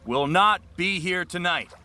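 A man's voice: high-pitched, measured pace, sharp tone, exaggerated voice. An actor playing an arrogant, domineering young male college rowing captain in an audio drama, commanding and projecting. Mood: pompous, commanding. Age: young adult. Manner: pompous, commanding, projected speech. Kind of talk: scripted audio drama acting.

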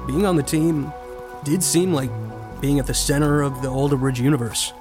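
A man's voice: a little high, moderate pace, lightly acted. An actor playing a privileged, cocky American college freshman in an audio drama, speaking and narrating in a casual, dry, self-assured way. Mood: reflective. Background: music bed.

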